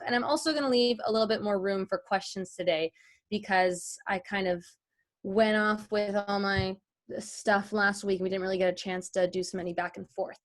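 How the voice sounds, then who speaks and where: A woman teaching an informal online class as a guest voice-over artist, chatty and explanatory, speaking directly to the group. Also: medium pitch.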